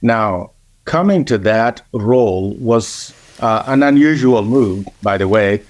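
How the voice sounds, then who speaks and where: Measured Kenyan-born man, dry sound. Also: fairly low pitch, expressive, dry recording. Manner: measured, frequent "uh" pauses. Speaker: interview guest, organization president. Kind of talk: conversational interview.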